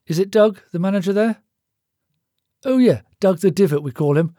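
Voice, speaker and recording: A man's voice: sits high, moderate pace, mellow, dry recording, studio quality, pitched above the performer's own voice, close to an everyday voice. A man voicing a brash, bossy male office boss in an audiobook story, blunt and matey as he gives orders.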